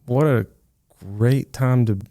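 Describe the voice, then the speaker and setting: A man chatting casually on a podcast, upbeat and easygoing, saying "man" and answering with quick agreements. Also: mid-range voice, fairly even intonation, dark tone, dry recording, studio quality.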